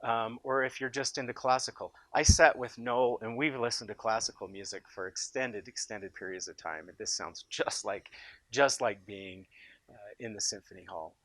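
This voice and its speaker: An American man giving an informal, unscripted product pitch as a company boss, speaking with enthusiasm and emphasis. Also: normal speed, fairly bright tone, no room sound, rough recording. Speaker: company founder presenting his loudspeaker in a product demo.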